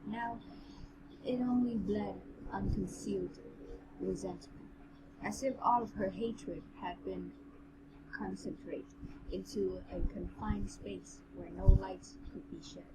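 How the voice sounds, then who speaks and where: A woman's voice: medium pitch, fairly even intonation, slow, very noisy. A woman doing amateur narration of a fantasy novel, as co-host of a reading show, on a home recording.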